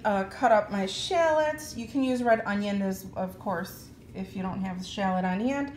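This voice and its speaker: A woman with a slightly high voice chats through a recipe in her own kitchen. She explains each step plainly and kindly, with the odd filler. A faint household hum sits under her voice. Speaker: cooking vlogger. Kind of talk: casual cook-along narration. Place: home kitchen.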